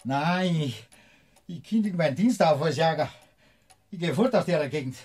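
An actor playing a remorseful hunter in a classic radio play, speaking earnestly in a pained, slightly husky voice. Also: male voice, on the high side, expressive, normal speed, darkish tone.

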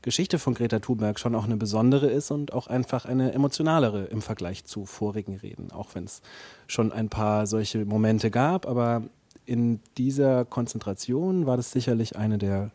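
Mellow, thoughtful man in a dry recording. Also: mid-range voice, normal speed, no echo. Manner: thoughtful, hedging, searching phrasing. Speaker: interview guest, radio play author. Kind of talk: reflective interview talk.

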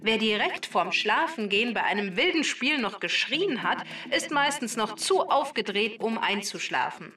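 Bright, roomy female explainer for children. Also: fairly high pitch, sing-song, moderate pace, hardly any pauses, far from the mic, soft background music. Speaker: podcast explainer.

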